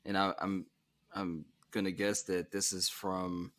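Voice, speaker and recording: A man with a somewhat low voice hosts a podcast conversation. He is easygoing and asks long questions that wander before landing. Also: level tone, a little slow, a bit bright. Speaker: podcast host. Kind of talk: casual interview.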